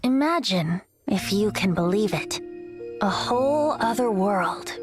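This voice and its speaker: A woman playing a whimsical bard in a game, narrating a fairy tale in a theatrical, deliberate way. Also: mid-range voice, animated, lightly acted. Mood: wondrous storytelling. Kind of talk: scripted narration. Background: music.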